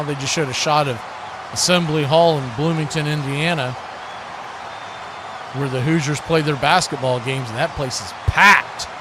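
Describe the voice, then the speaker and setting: Male play-by-play football announcer, American, fairly high voice, clipped phrasing, clear background noise on a live stream. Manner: narrates action in short clipped phrases, repeating words. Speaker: play-by-play sports commentator.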